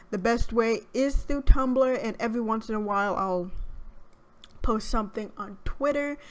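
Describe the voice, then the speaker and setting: A young woman with a soft, shy voice, chatting through a game video in a hesitant, rambling way. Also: a little high. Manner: rambling, hesitant with many fillers. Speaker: gaming YouTuber. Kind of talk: casual let's play commentary.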